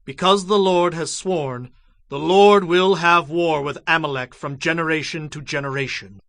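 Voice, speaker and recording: An actor playing a stern, fervent prophet in an audio Bible drama, speaking in a solemn, declamatory way. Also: male voice, on the high side, moderate pace, a bit bright, no room sound. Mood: resolute. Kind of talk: dramatized scripture acting.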